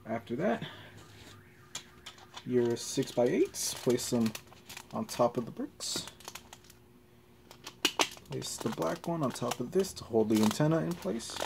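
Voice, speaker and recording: A man giving a casual hands-on tutorial in halting, short step-by-step instructions. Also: medium pitch, very animated, creaky, noisy background. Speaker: tutorial presenter. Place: carpeted floor in a room at home.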